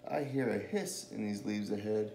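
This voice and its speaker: A man's voice: on the low side, normal speed, creaky, warm tone. Man reading as a gullible big monster, roomy sound. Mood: amazed. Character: big male monster, scary-looking but easily amazed. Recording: echoey room with faint noise.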